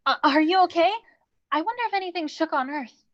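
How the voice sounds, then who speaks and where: Girl acting teasing young goddess, really high voice, dull lo-fi mic, stage play. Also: brisk. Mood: breezy. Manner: teasing, breezy. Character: playful, perceptive young goddess teasing her crush. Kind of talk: live staged play reading.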